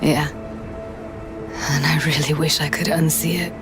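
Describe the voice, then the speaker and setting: An actress playing a grieving, guarded young tech expert in a video game, with slangy, clipped, weary phrasing. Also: female voice, deep voice, little pitch movement, slow pace. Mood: pained, subdued. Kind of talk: naturalistic video game acting. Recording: game dialogue under clear background music.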